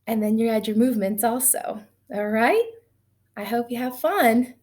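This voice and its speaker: A woman's voice: fairly high pitch, normal speed, a little mellow. A woman teaching young children in an encouraging, sing-song way, asking them questions as she counts, chants and tells simple stories.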